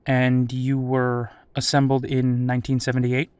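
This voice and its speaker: A man's voice: medium pitch, completely flat delivery, moderate pace, darkish tone, smooth, no room sound, close to an everyday voice. Polite, probing military captain played by a man. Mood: probing, patient. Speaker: interviewer. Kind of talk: audio drama interview.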